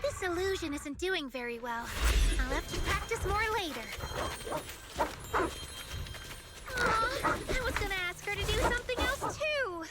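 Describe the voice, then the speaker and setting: A woman voicing an earnest young illusionist in an anime-style video game, speaking in a gentle, thoughtful, explanatory manner. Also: very high voice, lively intonation, moderate pace. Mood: sheepish, earnest. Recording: music behind the voice. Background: background music.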